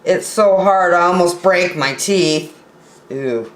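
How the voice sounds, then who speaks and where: A woman reading a children's story aloud in an expressive, playful way, with small asides to her listeners. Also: on the low side, slow pace. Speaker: storytime reader. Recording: roomy, echoey sound.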